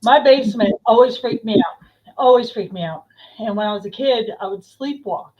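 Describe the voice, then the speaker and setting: A woman's voice: a little high, moderate pace, a little hiss or hum. Earnest woman on a dull line. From New Jersey. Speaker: paranormal investigator guest. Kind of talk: casual chat.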